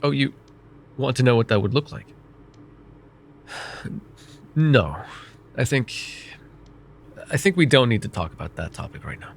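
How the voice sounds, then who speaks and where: A man voicing a vain, wry, middle-aged male mage who counsels a student in an ASMR roleplay, speaking in a calm, measured and gently teasing way. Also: mid-range voice, creaky, a little hiss or hum. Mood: calm reassuring. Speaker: voice actor.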